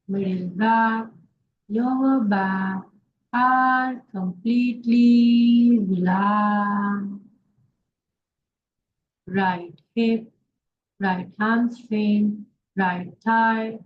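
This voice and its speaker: A woman yoga instructor leading a guided relaxation meditation in short instructions with pauses between them. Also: medium pitch. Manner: slow, pausing instructions in short phrases. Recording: dull lo-fi sound, faint background noise.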